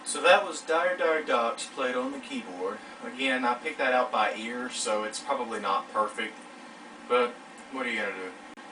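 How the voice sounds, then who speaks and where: A man's voice: medium pitch, moderate pace, noisy background. A man in his late teens chatting casually in a vlog, rambling in an informal, self-deprecating way. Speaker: vlogger. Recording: distant mic, clear music behind.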